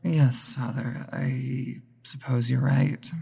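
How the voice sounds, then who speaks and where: A nervous young woman acted out by a performer speaks slowly and haltingly in a reverberant space. Her voice is creaky, and she sounds timid and unsure, in an audio drama style. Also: medium pitch, little pitch movement, mellow, heavily acted. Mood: anxious, hesitant. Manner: hesitant, stammering.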